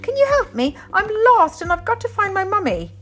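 Storybook lost animal boy, played by a woman: very high, polite, anxious, pleading over soft background music. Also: very animated, brisk. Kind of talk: children's storybook reading.

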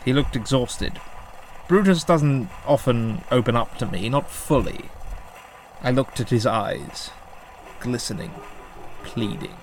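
Noir-tinged occult drama narrator played by a man: unhurried, observant, brooding first-person telling, faint ambience behind. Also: mid-range voice, expressive, slight background noise, rough recording. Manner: slow, deliberate.

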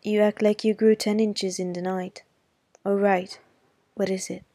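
A woman's voice: medium pitch, level tone, measured pace, warm tone, no echo. A woman reading the part of a feisty, stubborn young girl, speaking bluntly and defiantly.

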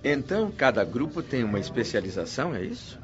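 A man's voice: medium pitch, very animated, fairly quick, dull audio, natural voice. A Brazilian actor playing an earnest, curious man new to the spirit world in a radio drama, speaking in a formal, reflective way. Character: André, curious, earnest, eager male newcomer to spirit world.

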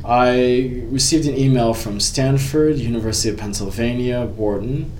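A young man in his twenties from California, a guest chatting candidly and with self-deprecating humor about his path, his pitch jumping up as he tells stories. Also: normal speed. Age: 20s. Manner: candid, self-deprecating, lively pitch jumps when storytelling. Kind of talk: casual interview chat. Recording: echoey room, mic at a distance, faint background noise.

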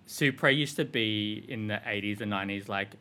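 An Australian man in his thirties talks through his answers in a bright, lower-pitched voice. He rambles loosely, leaning on filler words, in relaxed interview chat. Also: moderate pace. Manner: rambling, filler-heavy with frequent "like" and "um". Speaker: fashion designer guest.

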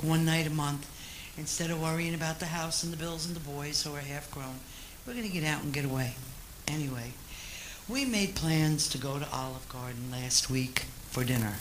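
An older woman, slightly hoarse from allergies, telling a personal story to a group in an animated, emotional way. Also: sits low, slight background noise, poor audio. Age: 60s or older. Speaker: congregation member sharing a joy. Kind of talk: personal anecdote told aloud to a group. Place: church sanctuary during service.

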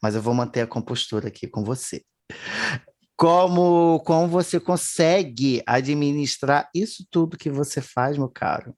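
Male host, theatrical, joking, quick to apologize. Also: fairly high pitch, a little mellow. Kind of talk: playful talk show hosting.

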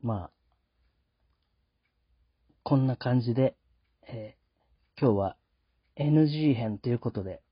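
Male host, husky voice, polite halting delivery, casual reflective monologue, dry lo-fi recording. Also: mid-range voice, no room sound. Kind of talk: casual podcast sign-off monologue. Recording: dull lo-fi sound.

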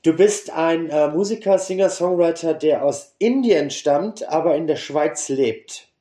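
A man's voice: on the high side, unhurried, dark tone. A man interviewing a guest in an enthusiastic way, with many fillers. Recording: echoey room.

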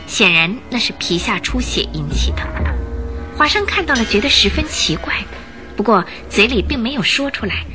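A woman narrating a scripted Chinese radio drama in an expressive storytelling style. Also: mid-range voice.

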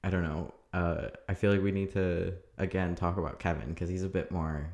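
A young man with a very low, flat monotone voice, recapping a TV episode in loose, rambling casual chat. He laughs often and fills his sentences with "like". The room around him is echoey. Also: fairly quick. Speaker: podcast co-host.

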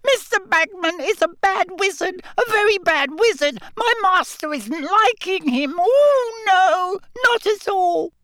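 Storybook house elf, female, played by a male narrator: tiny, very high squeak, loyal and wounded, slow wailing protests. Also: animated, bright, forceful tone, exaggerated voice. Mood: angry, indignant. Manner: broken grammar, wailing protests. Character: distraught little female house elf, servile, indignant, loyal.

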